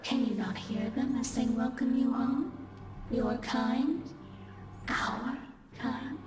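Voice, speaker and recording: An actress playing a menacing yet tender ghostly woman in a visual novel, coaxing in a drawn-out, whispery way. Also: on the high side. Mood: eerie, beckoning. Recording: heavy echoing reverb over game music.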